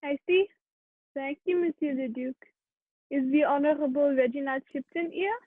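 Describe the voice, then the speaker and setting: A woman's voice: high-pitched, moderate pace, no room sound, natural voice. A woman playing a formal, stern French prefect of police questioning witnesses in an amateur radio play. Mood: measured, official. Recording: thin, narrow phone-like sound.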